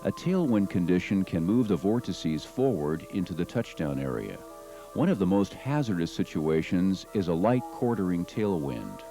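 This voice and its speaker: A middle-aged American man narrating a training film in a measured, formal, instructional manner. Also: deep voice, very animated, mellow. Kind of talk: scripted documentary narration. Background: music under the narration.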